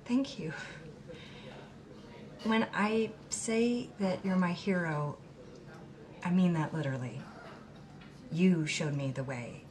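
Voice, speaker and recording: An actress playing an admiring, grateful woman who interviews her heroine, gentle and sincere, in an audio drama. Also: on the low side, slow, pauses often. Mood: grateful, moved.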